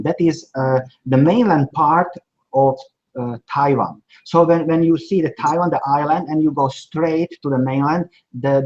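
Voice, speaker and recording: Male guest, 40s, halting English, dull lo-fi line. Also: on the high side. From Slovakia. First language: Hungarian. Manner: halting, plain English with small grammar slips. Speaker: guest, sourcing expert.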